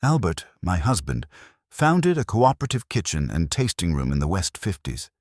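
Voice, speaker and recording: A man's voice: deep voice, big pitch swings, moderate pace, no room sound, studio quality. A man voicing a nervous, grieving widow in her thirties, pleading and holding herself together. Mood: tense, grieving.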